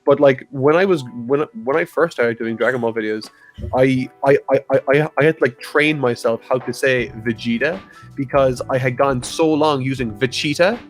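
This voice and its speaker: A man's voice: mid-range voice, moderate pace, faint music behind, poor audio. Southern Irish man, chatty. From south of Ireland. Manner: animated, filler-heavy. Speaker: podcast guest, video creator. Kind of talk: casual group chat.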